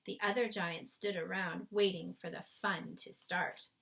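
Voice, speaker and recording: A woman's voice: lowish voice, fairly even intonation, unhurried, gravelly, fairly bright tone. A woman reading a children's story aloud as scripted narration. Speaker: storybook reader.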